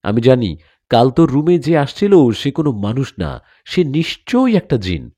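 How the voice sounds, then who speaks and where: A man's voice: mid-range voice, sing-song, normal speed, warm tone. A man voicing a stern, angry village father, a former healer, scolding emphatically in a story. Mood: angry, suspicious.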